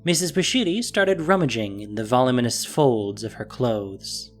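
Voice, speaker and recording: A man's voice: mid-range voice, lively intonation, normal speed. A man reading scripted story narration as the narrator of an audio drama. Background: music.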